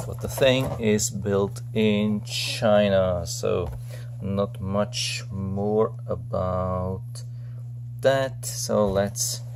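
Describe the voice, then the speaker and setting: A man's voice: fairly low pitch, gravelly, darkish tone, a little hiss or hum. A man giving a casual, unscripted product unboxing commentary, thinking aloud in a hesitant, rambling way. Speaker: product reviewer.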